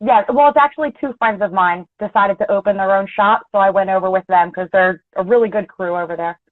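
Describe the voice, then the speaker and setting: A woman's voice: mid-range voice, fast, dry recording. Philly-accented woman on a tinny call. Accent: Philadelphia with some North Jersey. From Philadelphia area, USA. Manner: chatty, laughs easily, blunt. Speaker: guest, auto body and paint tech. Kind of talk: casual interview chat. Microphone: video call. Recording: tinny dry call audio.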